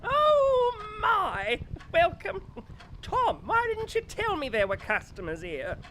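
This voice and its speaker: An actress playing a folksy, gossipy innkeeper in an audio drama, with quaint theatrical phrasing. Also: female voice, very high voice, huge pitch swings, slow pace, a bit bright, slight background noise, exaggerated voice. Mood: welcoming. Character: cheery, sly innkeeper barmaid, gossipy and foreboding.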